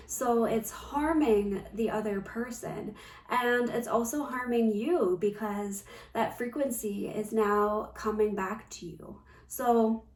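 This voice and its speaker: A woman gives an unscripted spiritual talk in a fairly high voice. She speaks very slowly and reflectively, pausing as she goes. The room adds a clear echo around her words. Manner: measured, reflective, with pauses. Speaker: spiritual coach. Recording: roomy echo, distant microphone.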